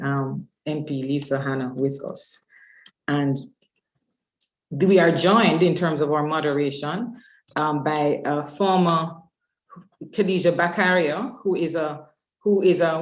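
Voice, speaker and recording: A woman giving a semi-formal introduction as a webinar host, measured and halting, with pauses mid-phrase. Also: low-pitched. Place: indoors on an online webinar call. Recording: roomy, echoey webinar audio.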